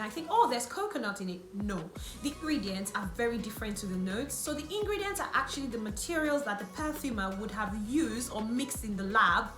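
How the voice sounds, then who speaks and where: A woman beauty and lifestyle vlogger, whose first language is not English, explaining things to camera in a chatty, self-correcting way. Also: fairly high pitch. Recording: echoey room with faint music.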